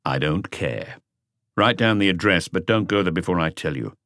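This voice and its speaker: A man voicing a calm, laconic senior police detective in an audiobook, terse and authoritative. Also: low-pitched, normal speed, no echo, studio quality. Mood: firm. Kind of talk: audiobook character dialogue.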